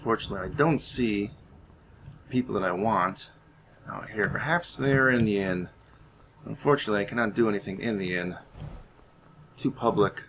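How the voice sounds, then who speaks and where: A man's voice: on the low side, moderate pace, pauses often, fairly bright tone, a little hiss or hum. A man giving gameplay commentary as roleplay, musing aloud in character. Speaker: gamer playing in character.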